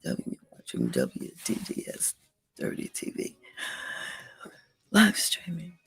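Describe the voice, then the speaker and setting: A hoarse, raspy-throated host of a casual livestream show, repeating station call-outs and coaxing viewers to like and subscribe. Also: mid-range voice, wildly swooping pitch, takes it slowly, a bit bright, a little hiss or hum.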